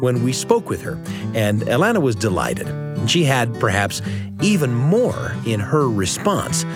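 A man hosting and narrating a radio story program in an earnest, deliberate storytelling way. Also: medium pitch, big pitch swings, loud music behind the voice. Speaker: radio show host and narrator. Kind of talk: scripted narration and studio conversation.

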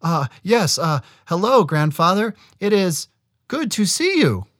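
A man's voice: big pitch swings, unhurried, fairly bright tone, no room sound, clean studio sound, full character voice. A man voicing a nervous young brother who stammers in a raised voice. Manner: stammering, high and anxious.

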